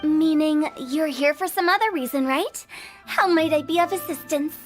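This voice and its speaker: A woman's voice: sits high, normal speed, soft background music, lightly acted. A woman voicing a playful, mischievous young woman who teases and lectures with flair. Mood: teasing. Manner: teasing, sing-song, lecturing with flair.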